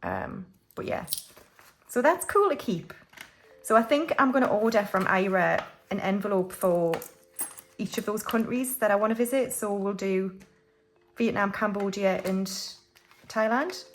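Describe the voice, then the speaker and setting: A woman's voice: mid-range voice, very animated, measured pace, a little hiss or hum. A British woman in her forties, chatting casually and rambling a little as she films a budgeting vlog. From United Kingdom. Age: 40s. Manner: chatty and rambling, with frequent restarts and fillers. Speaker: budgeting vlogger. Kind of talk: casual chat while stuffing cash envelopes.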